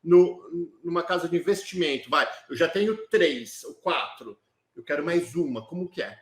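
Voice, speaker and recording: Animado anfitrião de voz aguda. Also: male voice, on the high side, roomy sound. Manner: enthusiastic, quick interjections. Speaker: host. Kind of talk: casual interview, prompting guests.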